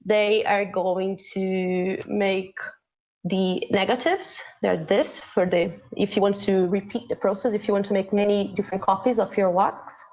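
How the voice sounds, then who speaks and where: A woman's voice: medium pitch, flat delivery, unhurried, darkish tone, highs cut off. Woman guest, Portuguese native, on a call. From Brazil. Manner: searching for words, many fillers. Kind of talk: casual explanation on live stream.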